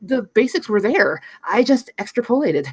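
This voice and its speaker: Woman playing a lively, brainy thirteen-year-old girl. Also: on the high side, normal speed, husky, no room sound. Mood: matter-of-fact. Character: thirteen-year-old orphan girl, brilliant, plainspoken young inventor.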